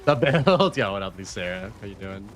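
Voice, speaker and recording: A man in his thirties streaming a video game live, chatting casually with his viewers and reacting excitedly with slang and swearing. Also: lowish voice, normal speed, slight background noise. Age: 30s. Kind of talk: casual live-stream chat while gaming.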